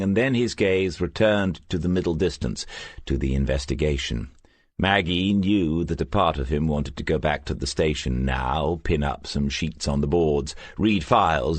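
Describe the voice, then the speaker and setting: A man narrating a crime novel as an audiobook, with measured, scripted storytelling. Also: a bass voice, dry recording.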